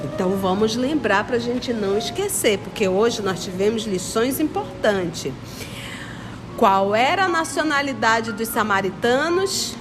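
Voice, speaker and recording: Higher-voiced Manaus woman teaching children in reverb. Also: expressive, a little slow. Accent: northern Brazilian, Manaus. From Manaus, Amazonas, Brazil. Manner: didactic, repetitive, questioning listeners. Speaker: children's religious study teacher. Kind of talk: explanatory lesson for children. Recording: long reverberant echo, music behind. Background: clear background music.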